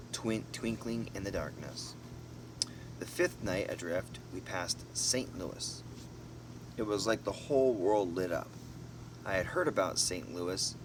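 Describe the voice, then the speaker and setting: A man narrating a storybook as an amateur reader, expressively, in short halting phrases with casual asides. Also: deep voice. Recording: noisy, distant mic, vehicle-like background hum.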